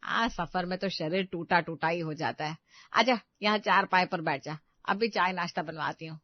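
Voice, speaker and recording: A woman's voice: lowish voice, normal speed, no echo, lightly acted. A woman playing a bossy, thrifty middle-aged mother-in-law in a family story, chiding and emphatic, in a slightly dull recording. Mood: hospitable.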